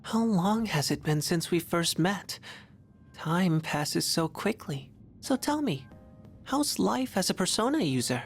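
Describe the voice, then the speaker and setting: An actor playing a calm, gentle, mysterious young boy in a video game, speaking in a measured, quietly intimate way. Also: sits high, gravelly, faint music behind, heavily acted.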